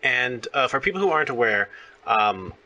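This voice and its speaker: A man's voice: medium pitch, big pitch swings, normal speed, sharp tone, muffled recording. A man hosting a conversational interview, explaining things in a rambling way. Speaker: interviewer, host.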